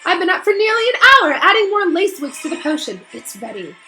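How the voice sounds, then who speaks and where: A woman reading a storybook voices a bossy, brisk, confident schoolgirl with an emphatic, matter-of-fact manner. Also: extremely high pitch, very animated, pushed and bright, faint music behind, voice pushed up, full character voice. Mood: brisk, loud.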